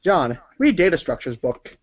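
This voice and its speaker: A man's voice: a little high, very animated, normal speed, muffled recording. A man narrating a comic read-along story in the second person.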